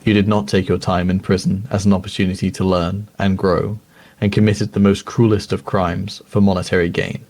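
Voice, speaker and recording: A man playing a sober news reader, narrating a crime documentary in a measured, grave and formal way. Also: deep voice, little pitch movement, darkish tone, lightly acted.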